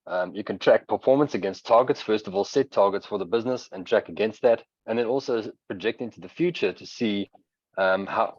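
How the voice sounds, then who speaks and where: A man with a South African accent giving a webinar presentation and software demo, explaining steadily with frequent hesitant fillers. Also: sits low, no echo. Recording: dull lo-fi webinar audio.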